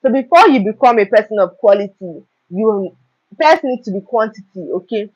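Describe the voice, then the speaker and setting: A young woman hosting a live stream, talking earnestly about self-improvement and often checking in with "okay?" and "right?". Her throat is slightly hoarse. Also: medium pitch, lively intonation, normal speed, a little mellow. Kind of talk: motivational talk on a live stream. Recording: dull lo-fi live stream audio.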